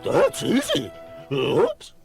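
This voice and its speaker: A goofy talking dog on a 1970s children's cartoon record. The voice is growly and gravelly, leaping around in pitch for comic effect. Faint music plays behind. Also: male voice, huge pitch swings, extremely slow, heavily acted.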